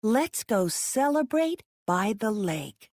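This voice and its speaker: Woman reading as an eager, animated six-year-old storybook girl. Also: on the high side, slow pace, no room sound, clean studio sound, heavily acted. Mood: excited. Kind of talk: storybook character dialogue.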